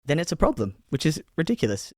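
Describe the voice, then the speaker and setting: Man, Australian accent, low voice, podcast host, earnest, casual chat, dry sound. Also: sing-song, quick pace, darkish tone, dry recording.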